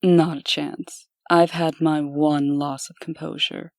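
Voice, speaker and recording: Casting: actress as dignified woman in authority, low register, earnest and firm, for comic drama. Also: level tone, slow pace, no room sound. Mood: composed, resolute. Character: proud, composed woman official, anxious for her sister. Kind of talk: comic dub dramatic acting.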